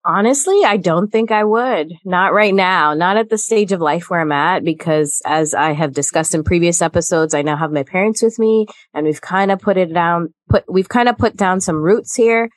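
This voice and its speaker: A woman co-hosting a podcast, talking in a measured, earnest way. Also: fairly low pitch, dry recording. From Guyana. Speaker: podcast co-host. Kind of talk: casual chat.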